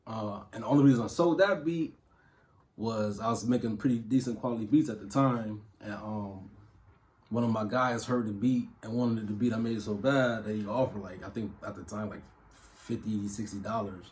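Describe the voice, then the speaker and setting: A man's voice: on the low side, moderate pace, a little mellow. A man in his thirties from Ohio, a music producer answering interview questions in a loose, casual way with plenty of fillers and slang. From Toledo, Ohio. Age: 30s.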